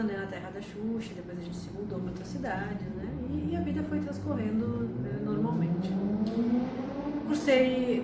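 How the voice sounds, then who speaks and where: A woman, telling her own life story to camera in a chatty way, with frequent tag questions. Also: fairly high pitch. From Argentina. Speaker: astrologer and psychotherapist. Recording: echoey room from a distance with background noise.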